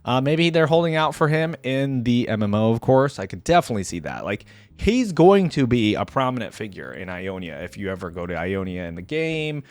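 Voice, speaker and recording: A man's voice: medium pitch, very animated, brisk, no room sound. A man hosting a reaction video, giving casual, rambling commentary and addressing his viewers directly.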